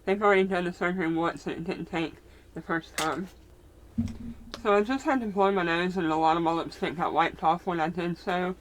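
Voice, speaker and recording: A woman's voice: lowish voice, fairly quick, a little hiss or hum, low-quality recording. A woman from Mississippi with a strong Southern accent and a speech impediment, chatting casually while she does her makeup and rambling as she searches for words. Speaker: vlogger. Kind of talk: casual get-ready-with-me chat.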